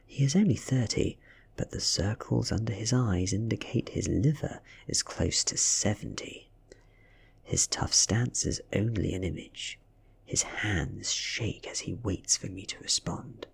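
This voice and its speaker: A man with a British accent softly reading a story as ASMR narration, breathy and near a whisper. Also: on the low side, measured pace. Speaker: audiobook reader.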